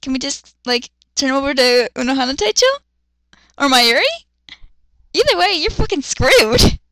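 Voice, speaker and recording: A woman's voice: high-pitched, normal speed, sharp tone, no echo. A woman doing a dramatic reading of fan fiction, with sarcastic, exasperated, foul-mouthed commentary.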